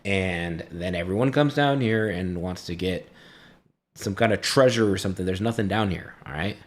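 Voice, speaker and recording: A man's voice: low-pitched, moderate pace. A man voicing an irritated undead male dwarf who guards his crypt home, exasperated and casual, in a tabletop game. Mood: weary, annoyed.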